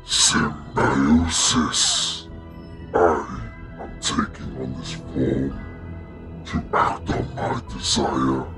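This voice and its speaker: A man's voice: steady tone, heavily acted. A man playing an ancient, hungry, contemptuous carnivorous plant monster in an audio drama, with pompous, menacing delivery. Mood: gloating menace. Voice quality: deep, menacing. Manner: slow, pompous, theatrical villain delivery. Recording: music behind the voice.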